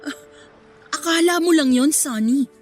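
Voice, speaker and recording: Radio drama firm, guarded Filipino mother, high-pitched female, emotionally defensive, light music bed. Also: unhurried. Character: guarded, firm single mother protecting her secret. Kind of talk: radio melodrama acting.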